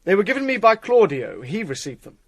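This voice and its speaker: Court messenger: deferential, fairly high voice, very animated intonation, classical play. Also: male voice, a little fast, dry recording, full character voice. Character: deferential messenger bringing letters to the king. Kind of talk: classical Shakespearean acting.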